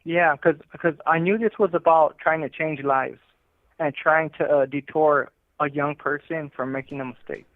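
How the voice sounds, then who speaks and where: A man's voice: on the high side, steady tone, normal speed, no room sound. A man on a prison phone call, reflecting on his life in an earnest, conversational storytelling way. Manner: earnest, conversational, often tagging with "you know" and "right". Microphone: telephone handset. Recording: tinny narrow phone line.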